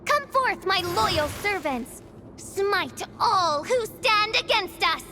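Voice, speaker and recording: A woman's voice: very high-pitched, animated, slow, background noise, exaggerated voice. A woman voicing a grandiose young princess in a video game, speaking in pompous, archaic, dramatic phrases and commanding her servants. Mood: defiant. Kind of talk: video game character acting.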